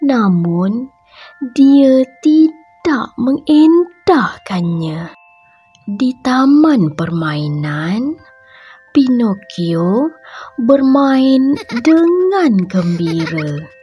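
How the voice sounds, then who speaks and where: A woman reading a bedtime story for children, in a slow, gentle, sing-song way with a breathy voice. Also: a little high, big pitch swings, highs cut off, soft background music.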